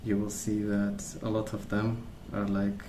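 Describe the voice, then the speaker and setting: A man rambling casually in a soft-spoken ASMR style, hesitant and meandering as he hosts. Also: lowish voice, monotone, a little slow, echoey room, background noise. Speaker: ASMR video host.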